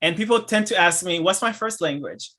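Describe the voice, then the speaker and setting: A man with a Haitian accent talks in a bright, ringing tone that sits a little high. He is an enthusiastic podcast host who laughs often. His phrases come in halting bursts with frequent restarts. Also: expressive. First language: French and Haitian Creole. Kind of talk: casual interview chat.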